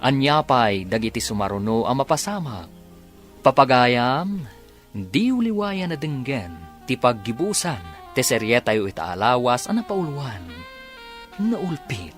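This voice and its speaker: A male radio drama announcer with an Ilocano Filipino accent. He reads in a slow, scripted dramatic style with big swings in pitch. Music plays behind him.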